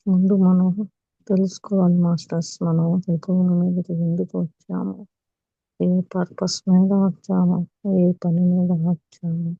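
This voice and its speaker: Telugu lady guiding meditation, soft mellow voice, calm with long pauses, echoey muffled room. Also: lowish voice, vocal fry. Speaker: meditation teacher. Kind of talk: guided meditation instruction.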